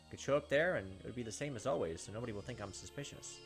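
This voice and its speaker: A man reads visual novel narration aloud in a quick voice of middling pitch. He hosts a gaming playthrough, slipping in brief remarks of his own, with game music behind him. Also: lively intonation. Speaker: gaming channel host doing a playthrough. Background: visual novel background music.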